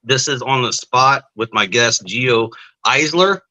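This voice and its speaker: A man's voice: mid-range voice, a little slow, bright, forceful tone, rough recording. A man hosting a live-stream testimony show, chatting casually with his guest and viewers, with lots of fillers.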